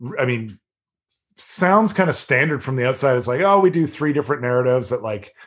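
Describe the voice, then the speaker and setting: A man talking in a relaxed, rambling way as a podcast guest, with a slightly nasal yet deep voice and many fillers. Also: fairly quick. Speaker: podcast guest, improv performer. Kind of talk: casual chat.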